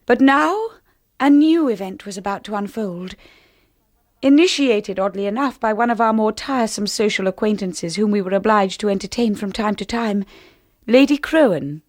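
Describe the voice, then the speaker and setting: An actress as a timid, reflective young wife. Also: female voice, medium pitch, expressive, moderate pace, no room sound, studio quality, close to an everyday voice. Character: timid, unsure young wife, narrating her memories. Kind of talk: radio drama narration and dialogue.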